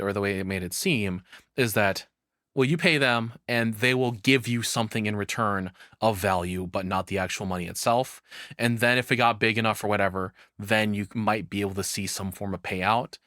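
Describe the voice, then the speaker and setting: Deep-voiced man giving casual reaction commentary. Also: normal speed, a bit bright, no echo, clean studio sound. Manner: rambling, conversational, swears casually. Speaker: reaction streamer.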